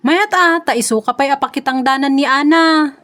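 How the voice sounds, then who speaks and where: Audio drama friend played by a woman: high-pitched, Ilocano-accented, matter-of-fact, agreeable, recorded very dry. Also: a little fast, fairly bright tone, no echo. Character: easygoing, practical female village friend. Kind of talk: comedy audio drama acting.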